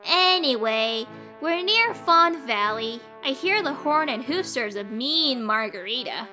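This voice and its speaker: A woman's voice: high voice, expressive, bright, forceful tone, faint music behind, heavily acted. A woman voicing a cranky, violent, sarcastic young girl adventurer in a theatrical comic dub, drawing out her complaints. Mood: dismissive, sarcastic. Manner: sardonic, theatrical, drawn-out complaining. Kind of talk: comic fan-dub voice acting.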